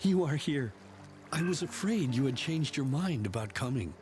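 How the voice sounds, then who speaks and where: A man's voice: medium pitch, lively intonation, moderate pace, a little mellow, a little hiss or hum, natural voice. A man voicing a tender young prince in love in a game cutscene, pleading affectionately.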